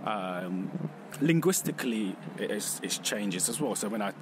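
A man speaking reflectively outdoors, pausing and correcting himself as he talks. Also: on the low side, lively intonation. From Vietnam. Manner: thoughtful, pausing, self-correcting. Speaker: exhibition contributor. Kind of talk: reflective personal testimony. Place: outdoors on a city street. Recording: noisy street with traffic.

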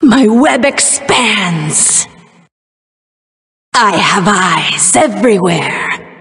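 An actress playing a seductive, predatory spider monster and proud brood mother in a video game, with a gloating, drawn-out delivery. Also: medium pitch, lively intonation, gravelly, fairly bright tone, exaggerated voice. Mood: gloating, menacing. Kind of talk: video game character voice lines. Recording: echoey hall-like reverb.

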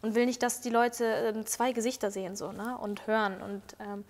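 A woman's voice: a little high, little pitch movement, normal speed, big-room sound. A young woman in her twenties, a singer as guest, chatting candidly in an interview with slangy words and many fillers, sitting on a sofa in an indoor lounge set. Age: 20s. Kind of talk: casual interview chat.

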